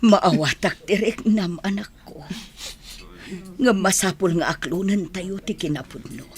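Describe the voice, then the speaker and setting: A woman's voice: fairly low pitch, unhurried, close to an everyday voice. An actress playing a tender, sorrowful mother of a grown son in a radio drama, emotional and melodramatic, with an Ilocano accent. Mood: hushed, consoling. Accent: Ilocano, northern Philippines.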